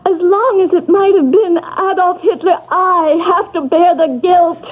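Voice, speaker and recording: An actress playing a frightened young woman in tears, speaking in halting, breathless bursts in a radio drama. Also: very high voice. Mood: distraught. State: crying. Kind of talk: 1970s radio drama acting. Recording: tinny hissy old radio broadcast.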